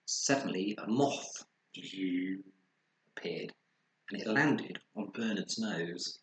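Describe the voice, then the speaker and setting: A man reading a children's story aloud expressively, with dramatic pauses and acted sound words. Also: deep voice, fairly bright tone. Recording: roomy echo, faint background noise.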